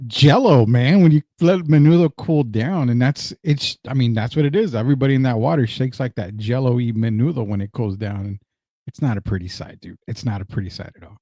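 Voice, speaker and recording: A laid-back man talks sports in a casual podcast chat. He is even-tempered and laughs easily. His voice is mellow and dark, and the sound is dry, with no room echo. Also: mid-range voice, a little fast.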